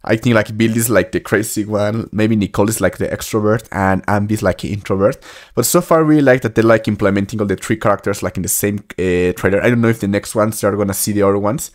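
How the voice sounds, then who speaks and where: A man in his twenties giving casual, off-the-cuff reaction commentary in halting, repetitive phrases. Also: lowish voice, fairly even intonation. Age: 20s. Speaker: YouTube reaction host. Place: indoor room at a desk, music behind. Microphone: desktop microphone with headset worn. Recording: music playing behind the voice.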